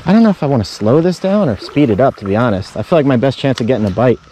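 An easygoing man talks through his fishing session outdoors by a river, his voice at a middle pitch. He rambles in a relaxed, chatty way and lets loose with excitement now and then. Faint crickets and insects sit behind him. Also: very fast, slight background noise, rough recording. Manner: laid-back, chatty, bursts of excitement at catches. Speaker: fishing vlogger. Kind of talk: casual play-by-play while fishing. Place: outdoors wading a river tributary.

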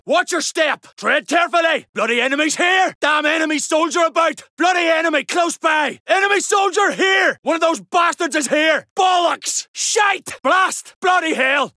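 Shouting, high-strained gruff soldier. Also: male voice, dry recording, clean studio sound, full character voice. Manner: urgent, forceful, blunt swearing. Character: gruff, foul-mouthed battle-hardened male soldier in combat. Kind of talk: shouted video game combat barks.

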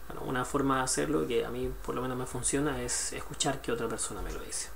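A young man with a medium-pitched voice talks informally through a tutorial from a room indoors. He rambles and hesitates as he goes, and there is clear noise in the recording. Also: steady tone, fast. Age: young adult. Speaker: student sharing a study tip. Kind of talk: casual chat in a screen-recorded tutorial. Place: small indoor room at a computer.

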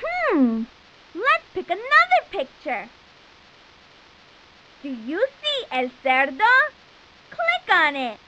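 A woman playing a cheerful, encouraging little girl cartoon explorer, enunciating clearly as she gives enthusiastic instructions in a children's educational game. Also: very high voice, huge pitch swings, slow, long pauses, noisy background, heavily acted. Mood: encouraging, instructive.

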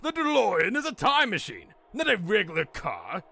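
A man voicing a boastful, excitable older mad scientist in a blustering, exclamatory manner for a story. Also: high-pitched, moderate pace, vocal fry, soft background music, pitched above the performer's own voice, heavily acted. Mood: scornful.

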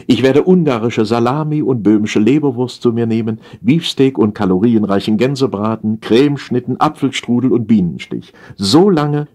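A man with a husky voice reading satirical stories as an audiobook, in a theatrical, mock-solemn manner with deliberate phrasing. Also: fairly low pitch, a little mellow. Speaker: audiobook narrator.

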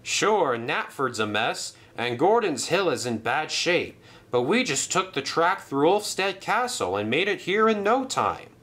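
Polite engine, formal and high, bright tone; a man voices it. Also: very animated, a little slow, exaggerated voice. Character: cheerful male engine, formal.

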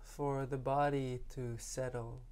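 A man leading a guided meditation as a teacher, speaking in a soft, calming way with long pauses. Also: medium pitch, clean tone.

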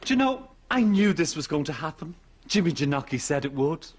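A man's voice: a little high, very animated, a little fast, breathy edge. A man playing a gullible, gushing grown-up son in a sitcom, dramatic in manner, with an Irish accent. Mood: delighted. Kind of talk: sitcom comic acting.

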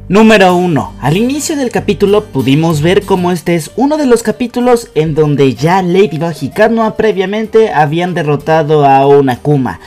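A man's voice: high voice, expressive, moderate pace, few pauses, a little mellow. A young man with a Mexican accent presenting scripted fan commentary as a video host, in an emphatic, enthusiastic way. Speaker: YouTube host. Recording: voice-over with music behind.

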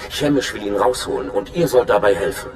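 Mann spielt tiefen, ernsten Spion mit Echo. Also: male voice, fairly low pitch, animated, a little fast, soft background music, lightly acted. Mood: urgent. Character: earnest, scheming male spy informant. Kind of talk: dramatic video game dialogue in German. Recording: echoey, noisy hologram transmission.

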